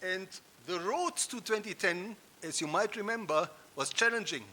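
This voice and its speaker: German-accented male keynote speaker, fifties, high bright voice, emphatic stage delivery. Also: moderate pace. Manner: rhetorical, emphatic, with audience asides. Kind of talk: conference keynote speech. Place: conference hall stage.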